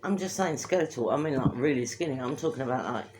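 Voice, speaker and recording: A woman's voice: very deep voice, animated, quick pace, background noise. A woman co-hosting a casual chat, teasing and drawing out her words for emphasis. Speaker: co-host.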